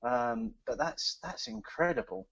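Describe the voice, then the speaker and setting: A man's voice: mid-range voice, expressive, unhurried, fairly bright tone, breathy edge, muffled recording. A man co-hosting a beer podcast, chatting enthusiastically as he tastes, often repeating words as he talks. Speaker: podcast co-host. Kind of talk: casual chat.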